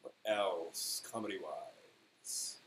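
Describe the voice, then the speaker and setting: A man hosting a live stream in casual, rambling chat, thinking aloud haltingly and leaning on key words. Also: low-pitched, a bit bright. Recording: echoey room with faint noise.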